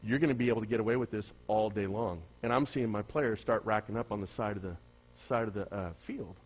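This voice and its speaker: A middle-aged male pastor with a soft voice, telling a story in a sermon and swinging between loud emphasis and hushed asides. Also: lowish voice, quick pace, lo-fi sound.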